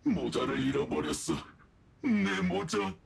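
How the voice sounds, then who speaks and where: A woman voicing a childish, simple-minded henchman in a video game, halting and whiny, with a creaky voice. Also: on the low side, wildly swooping pitch, a crowd in the background, full character voice. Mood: anxious, pleading.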